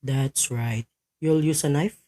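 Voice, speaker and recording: A man's voice: medium pitch, fairly even intonation, slow pace, dark tone, close to an everyday voice. A man playing a methodical criminal go-between in a radio play, giving instructions in a businesslike way. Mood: businesslike, secretive.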